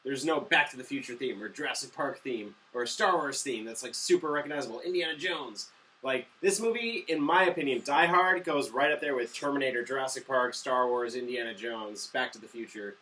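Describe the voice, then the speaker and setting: Bright-voiced, blunt man, slightly off mic. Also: mid-range voice, fairly quick, distant mic, poor audio. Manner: blunt, argumentative. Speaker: movie review show co-host. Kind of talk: casual, opinionated review banter.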